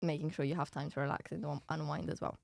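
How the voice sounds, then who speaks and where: A woman's voice: sits low, little pitch movement, fast, gravelly, a little mellow, no echo, studio quality. A young woman with a Romanian accent, chatting casually as a student podcast co-host. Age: young adult. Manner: giggly, laughs often.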